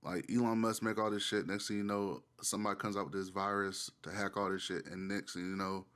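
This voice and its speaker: Low-voiced man chiming in on podcast. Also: little pitch movement, brisk, fairly bright tone. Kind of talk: casual podcast chat.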